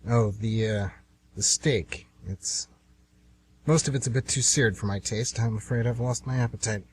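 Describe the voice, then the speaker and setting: A man's voice: lowish voice, moderate pace, slight background noise, lightly acted. A man playing a polite, understated hitman who poses as a friendly tourist in an amateur radio play. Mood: somber, distracted. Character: smooth, rueful male hitman posing as friendly tourist.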